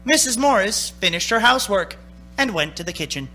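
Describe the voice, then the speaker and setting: High, very bright-voiced radio storyteller. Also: male voice, expressive, faint music behind. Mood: atmospheric. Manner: measured dramatic phrasing. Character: storyteller narrator of a suspense radio play. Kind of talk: scripted radio narration.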